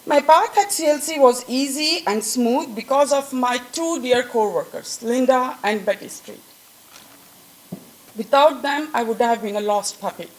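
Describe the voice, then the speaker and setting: Woman, Indian accent, talkative speaker at a staff event, bright higher pitch. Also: measured pace, low-quality recording. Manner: chatty, laughing at own jokes. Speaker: colleague giving a tribute speech. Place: event room with an audience.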